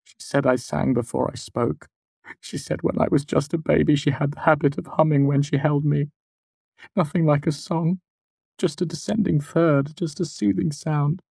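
A man's voice: a little high, creaky, darkish tone, slightly rough, no echo, pitched above the performer's own voice. A man voicing a grieving young man who speaks through tears with a choked, strained voice. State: crying. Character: grieving young man, choking back sobs.

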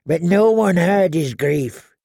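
A man doing a croaking ancient dwarf crone. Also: high voice, animated, warm tone, no echo, studio quality, voice pushed up, heavily acted. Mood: ominous. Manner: slow, wheedling, prophetic. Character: ancient tiny dwarf woman seer, eerie and cackling. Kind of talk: audiobook character voice.

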